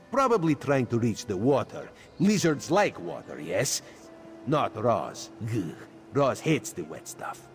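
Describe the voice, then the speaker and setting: An actor playing a sly, playful cat-man spy in a video game who refers to himself in the third person, over faint game music. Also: medium pitch. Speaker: spymaster quest giver. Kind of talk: video game character dialogue.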